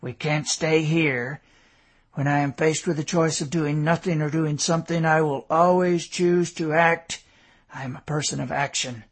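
A man voicing a calm, resolute colonel giving hard orders. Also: on the high side, normal speed, slightly rough. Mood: reflective. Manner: calm, commanding. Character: stoic, resolute male colonel.

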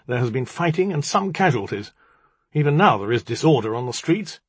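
A man's voice: mid-range voice, very animated, brisk, breathy edge, dry recording, voice pushed up. A man voicing a bitter, self-pitying young magician, haughty and accusing, as audiobook dialogue. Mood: cold, formal.

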